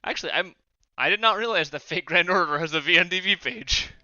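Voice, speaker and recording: Bright, crisp-voiced man on a dry recording. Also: sits high, brisk, no echo, dull audio. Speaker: podcast panelist. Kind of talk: casual podcast discussion.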